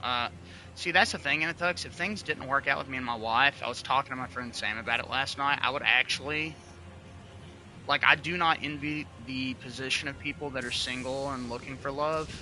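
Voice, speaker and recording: Young male streamer, bright tense voice, casual and profane, light hiss behind. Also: mid-range voice, brisk, poor audio. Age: 20s. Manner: relaxed, swears freely, chats to viewers. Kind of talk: casual livestream chat.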